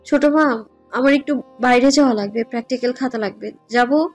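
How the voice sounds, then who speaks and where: A woman plays a meek young Bangladeshi female student in an audio romance. Her voice is high and husky, polite and hesitant, with faint music behind it. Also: fairly quick, close to an everyday voice. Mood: timid, asking.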